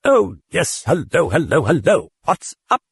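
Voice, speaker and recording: A sly, sneering demon, put on by a man purrs in a raised, sing-song register, dripping with false politeness. Each taunt swoops up and down with mockery. The voice is husky and close, with no room around it. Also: on the high side, big pitch swings, moderate pace, dry recording, pitched above the performer's own voice, full character voice. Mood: mock cheerful. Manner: mocking, sardonic and falsely cordial. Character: sardonic mocking demon possessing a girl.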